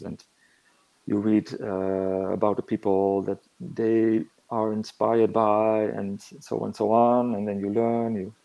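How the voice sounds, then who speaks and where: A man talks slowly in a low, gravelly voice. His tone is dark and mellow. He answers in a halting, reflective manner, often pausing to find his words. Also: poor audio. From northern Netherlands.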